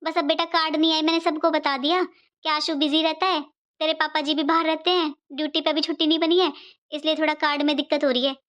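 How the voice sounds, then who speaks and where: A woman's voice: high-pitched, flat delivery, fast, fairly bright tone. A woman playing a middle-aged mother-in-law in a cartoon family drama, a fretful matriarch who talks in a rambling, repetitive, motherly way. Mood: reassuring.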